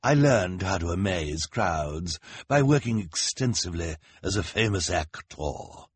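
A man voicing a vain, scheming male villain who talks like a theatrical showman, loud and gloating. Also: sits low, expressive, a little slow, slightly rough, no echo, exaggerated voice.

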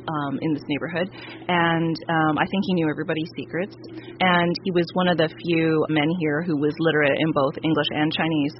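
A woman with a Canadian accent answers questions in an easygoing, reflective way, often pausing with small hesitations as she thinks. The sound is a little muffled like broadcast audio, with a faint hiss underneath. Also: deep voice. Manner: thoughtful, frequent hesitations. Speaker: novelist interviewee. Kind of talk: conversational interview answers.

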